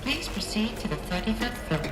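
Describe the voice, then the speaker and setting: An actress playing a female computer system that makes flat, formal announcements. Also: medium pitch, fairly quick, background noise, natural voice. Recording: distant echoey speaker with music.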